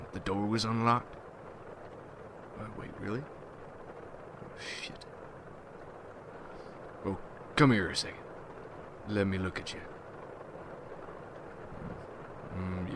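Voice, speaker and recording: Man playing a low, slow, gruff vampire hunter. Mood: wary, sizing up. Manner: folksy, brooding, rough-spoken. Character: gruff, ruthless, wary male vampire hunter guild leader. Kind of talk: ASMR roleplay acting. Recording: noisy ambience behind the voice.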